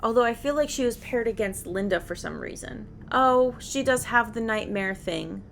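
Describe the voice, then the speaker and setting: A woman's voice: on the high side, expressive, moderate pace, gravelly, slight background noise. A woman giving casual, rambling game commentary as a video creator, thinking aloud and questioning herself as she goes. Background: background music.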